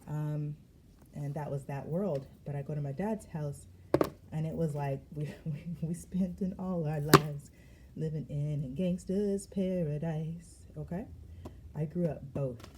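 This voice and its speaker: A woman's voice: sits low, measured pace, very noisy. A woman tarot reader talking in a slangy, emphatic way during a casual spiritual reading. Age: adult. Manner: lively, slangy and emphatic, with frequent laughs.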